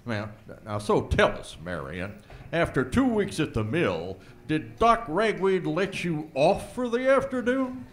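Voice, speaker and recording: Casting: man as a folksy sheriff, teasing, very lively voice. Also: a little high, a little slow. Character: folksy small-town male sheriff, flirtatious.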